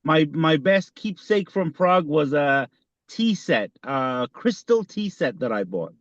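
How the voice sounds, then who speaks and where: A man's voice: on the high side, sing-song. Man, podcast co-host, dull lo-fi sound. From Iran. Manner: halting, many fillers and restarts. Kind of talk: casual conversation.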